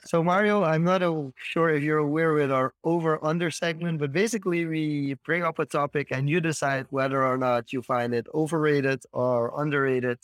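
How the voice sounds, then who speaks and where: A man's voice: on the high side, fairly even intonation, moderate pace, few pauses, clean tone, no echo. A man from Caribbean America, hosting a casual chat and explaining things in short, broken phrases. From Caribbean Latin America. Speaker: podcast host.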